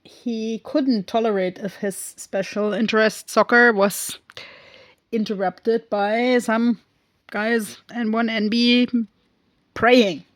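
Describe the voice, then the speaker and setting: A woman with an unhurried, drawn-out delivery talks through a film in casual recap mode, pausing often and drifting into tangents. She speaks English as a second language. The sound is dry and close, with no room echo. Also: a little high, steady tone. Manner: rambling, goes off on tangents; hesitant, many pauses and fillers. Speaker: film reviewer.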